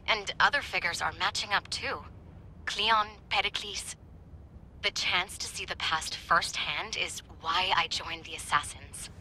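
An actress playing an eager, earnest young historian with a bookish enthusiasm in a video game. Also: female voice, on the low side, sharp tone, slight background noise, poor audio. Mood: fascinated. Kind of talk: video game cutscene acting.

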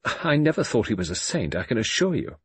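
A man's voice: fairly low pitch, expressive, quick pace, slightly rough, no echo. A man voicing a cold, defiant, scornful young adult daughter in an audiobook, biting and controlled.

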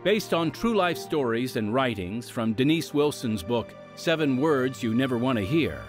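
A man announcing and hosting a scripted radio drama programme in a dramatic, measured manner. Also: medium pitch, expressive. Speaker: announcer and host. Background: music.

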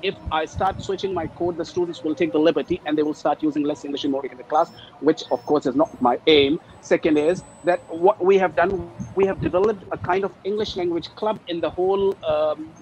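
A man whose first language is Urdu, an ESL teacher speaking over a video call in a halting, hesitant way. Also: a little high, a little mellow, slight background noise, poor audio. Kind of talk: panel discussion. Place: teaching abroad.

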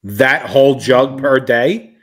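A man's voice: medium pitch, slow, a crowd in the background. A man chiming in casually as a panelist in a group chat. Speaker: podcast panelist. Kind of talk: casual interjections.

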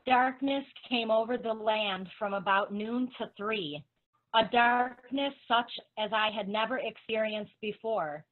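A woman's voice: on the high side, measured pace, lightly acted. A woman playing a grieving, devoted follower of Jesus in a dramatic scripture reading. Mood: sorrowful. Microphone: video call. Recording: dull, lo-fi video-call audio.

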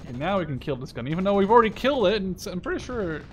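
A man in his twenties giving casual, sweary gameplay commentary, thinking out loud as he plays. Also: sits high, very animated, rapid-fire, a little hiss or hum. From Netherlands. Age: 20s. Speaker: video game streamer. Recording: gunfire and explosions from game behind. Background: war game artillery and gunfire.

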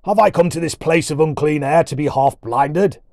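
A man voicing a boisterous dwarf warrior prince with a booming voice and grand, archaic speech, in a storybook reading. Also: lively intonation, a little slow, a little mellow, no room sound, clean studio sound. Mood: bellowing scorn. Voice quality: deep, booming.

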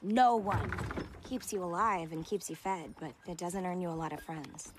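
An actress voicing a tough, sardonic young adventuress in an animated comedy, with a wry, teasing delivery. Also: female voice, on the low side, normal speed, noisy background, natural voice. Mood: guarded, dry. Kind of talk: animated film comedy acting.

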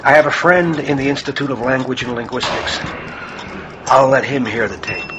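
An actor playing an earnest, troubled priest with a probing, insistent manner in a radio horror drama. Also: male voice, medium pitch, moderate pace, dull audio, close to an everyday voice. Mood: thoughtful, probing. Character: earnest, troubled priest and psychiatrist investigating possession. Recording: noisy radio-play recording.

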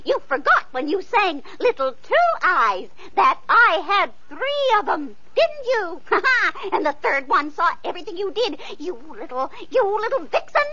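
A woman's voice: extremely high pitch, sing-song, a little slow, few pauses, sharp tone, full character voice. A woman playing a spiteful, sly young sister in a vintage radio play, sneering and mean. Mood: gloating. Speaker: radio actress. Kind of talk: 1940s radio acting. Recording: muffled old radio recording with faint hiss.

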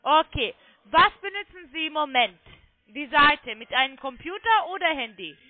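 High-pitched woman on a phone line. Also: expressive, normal speed. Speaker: phone sales agent claiming to be technical support. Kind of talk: phone sales call, step-by-step instructions.

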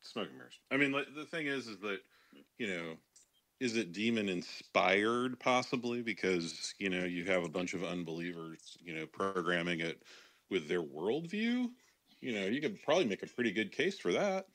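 A man's voice: on the low side, expressive, normal speed, a bit bright. A man with a Chicago accent, talking bluntly and dismissively in a casual podcast discussion. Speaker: show regular.

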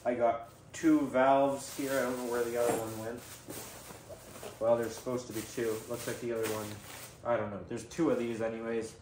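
A man's voice: mid-range voice, flat delivery, moderate pace. Casual rambling man in an echoey room. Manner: rambling, informal, thinking aloud. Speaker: DIY video host. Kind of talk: casual unscripted show-and-tell. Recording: echoey room, faint background noise.

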